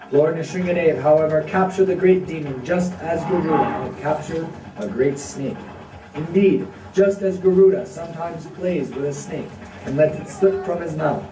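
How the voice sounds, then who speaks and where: A narrator of unclear sex reads a scripted devotional story in measured phrases. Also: medium pitch. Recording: echoey, distant, music behind.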